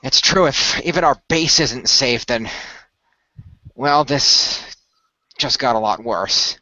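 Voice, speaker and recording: A man playing a calm, technically minded team leader in an amateur radio play, speaking in a measured, authoritative, explanatory way. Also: medium pitch, no room sound, highs cut off, heavily acted. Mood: determined, concerned.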